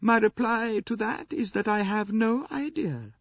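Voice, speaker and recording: Imperious, high-pitched old Russian princess. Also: male voice, gravelly, dry recording, highs cut off, full character voice. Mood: dry, weary. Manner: dry, haughty, slow deliberate delivery.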